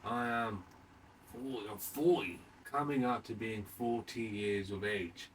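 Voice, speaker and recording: A man in his late thirties with a fairly low voice talks off the cuff, as if recorded some way from the microphone. He circles back over his phrases, restating them haltingly, and throws questions out to the listener. A faint layer of noise sits behind him. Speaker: podcast host. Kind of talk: rambling unscripted monologue. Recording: distant mic with faint background noise.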